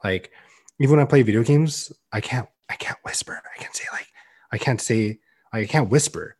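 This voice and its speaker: A young man on a podcast, talking earnestly and loudly in a casual chat, with many "like"s. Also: medium pitch, moderate pace, breathy edge. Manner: loud, earnest, heavy use of "like". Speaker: podcast co-host.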